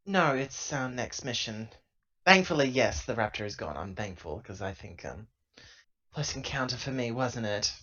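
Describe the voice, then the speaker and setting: A man's voice: mid-range voice, animated, moderate pace, pushed and bright. An earnest, defensive woman of a starship crew , played by a man at a game table, explains herself hesitantly in improvised sci-fi roleplay. The sound is dull and lo-fi. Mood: worried. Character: earnest, defensive female crew member of a spaceship. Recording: dull, lo-fi laptop audio.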